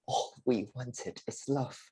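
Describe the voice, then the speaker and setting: A man performs a dying father in a play reading. His voice is husky and mid-pitched, and he takes his time over each phrase. He sounds weary and earnest, pleading to be understood. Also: dark tone. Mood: tender, remorseful. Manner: slow, deliberate, emotive delivery.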